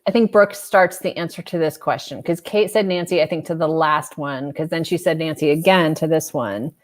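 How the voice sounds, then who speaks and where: Chatty rambling woman, lowish voice. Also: normal speed. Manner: chatty, rambling, self-mocking. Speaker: podcast co-host. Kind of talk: casual chat over a video call.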